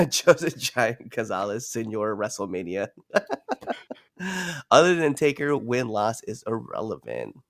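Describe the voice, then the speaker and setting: A man's voice: fairly low pitch, very animated, unhurried, vocal fry, a bit bright, no room sound, clean studio sound. A man co-hosting a wrestling podcast, trading jokes in loose banter, laughing often and swearing freely. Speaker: podcast co-host.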